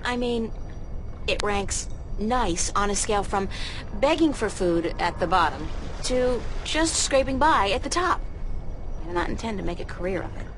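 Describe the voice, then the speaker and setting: A woman's voice: mid-range voice, lively intonation, moderate pace, natural voice. A woman playing a sardonic, guarded young art student in a video game, with a wry, sarcastic, conversational delivery. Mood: wry, musing. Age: young adult. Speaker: game protagonist. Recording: clearly noisy ambient background.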